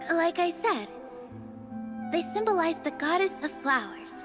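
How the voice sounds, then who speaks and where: A woman voicing a gentle, earnest young dancer in a video game, speaking softly and hesitantly. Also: extremely high pitch, big pitch swings, slow, music in the background, full character voice. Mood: bewildered.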